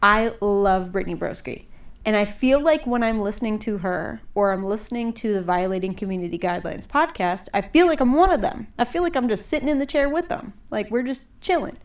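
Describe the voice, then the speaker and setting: Woman, young adult, podcast host, casual chat, congested, rambling, middle pitch. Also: brisk. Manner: chatty, rambling with many fillers and likes.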